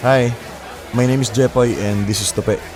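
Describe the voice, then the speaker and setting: An actor playing a confident, smooth-talking young bachelor in a Taglish audio drama, playful and persuasive. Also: male voice, mid-range voice, steady tone, measured pace, natural voice. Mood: friendly, courting. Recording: loud music and crowd bed behind. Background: music, crowd chatter.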